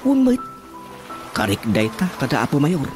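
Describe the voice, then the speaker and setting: A man's voice: medium pitch, huge pitch swings, moderate pace, over music. A man acting a chatty village councilman in a comic radio drama, with an Ilocano accent. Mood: chatty, genial. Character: chatty village councilman talking shop with watchman.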